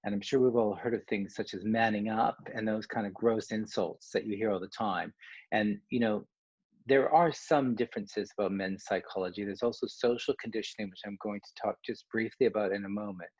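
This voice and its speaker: A man's voice: fairly low pitch, fairly even intonation, a little fast, darkish tone, husky, no room sound, muffled recording. A Canadian man, a coach, giving an online workshop presentation in a conversational way, with restarts and asides.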